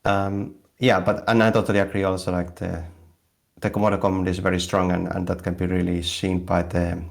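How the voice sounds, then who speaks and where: Finnish-accent host, super deep flat gravelly voice, casual loose chat on a call. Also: male voice, a little fast. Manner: loose, filler-heavy chat with lots of "like". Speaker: AMA host. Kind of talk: casual moderating.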